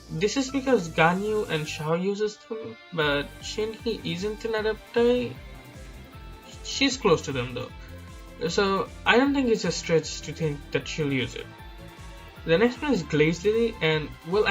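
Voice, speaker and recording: A man's voice: a little high, fairly bright tone. A man giving casual, self-deprecating speculation about a video game, hedging often with filler words. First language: not Chinese. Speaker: gaming YouTuber. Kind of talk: speculative game commentary over slides. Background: background music.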